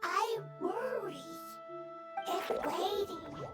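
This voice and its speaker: An actress voicing a timid fungus creature in a video game, speaking in broken, halting fragments. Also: female voice, extremely high pitch, animated, recorded from a distance, exaggerated voice. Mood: worried. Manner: halting, fragmented short phrases. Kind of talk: video game character acting. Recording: loud music underneath.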